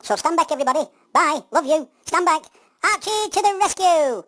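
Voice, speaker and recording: Woman voicing an eager, high-pitched little bulldog hero. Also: lively intonation, brisk, bright, forceful tone, no room sound, studio quality. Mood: excited, heroic. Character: eager, affectionate young male bulldog superstar rescuer. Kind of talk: children's pantomime storytelling.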